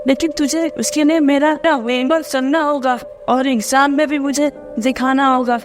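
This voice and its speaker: A man's voice: very high-pitched, fairly even intonation, normal speed, soft background music, pitched above the performer's own voice. A man voicing a spoiled, scheming rich schoolgirl in a bossy, entitled way for a cartoon story.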